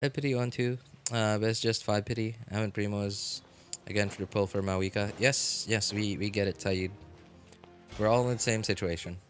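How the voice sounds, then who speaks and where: A man live-streaming a game, chatting casually with viewers and answering chat in a relaxed, chatty way. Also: on the low side, normal speed, faint music behind. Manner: frequent fillers and restarts, laughs easily.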